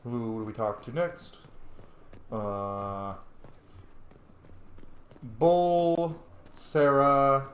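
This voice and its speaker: A man's voice: medium pitch, drawn-out words, long pauses. A man giving casual, chatty gameplay commentary, playful and unfiltered, reacting as he plays. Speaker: gamer playing and reacting to a story game. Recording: echoey room with faint noise.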